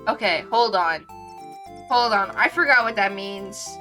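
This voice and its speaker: A woman's voice: medium pitch, expressive, unhurried, sharp tone, soft background music. A woman streaming a game with casual live commentary, chatty, gushing and self-deprecating. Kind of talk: casual live commentary while reading a visual novel.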